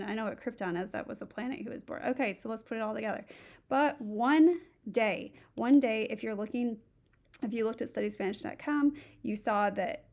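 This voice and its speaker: A woman's voice: mid-range voice, gravelly, darkish tone. A middle-aged woman teaching Spanish, a native English speaker, who thinks aloud and walks through each step of a recorded screen lesson. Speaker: Spanish instructor.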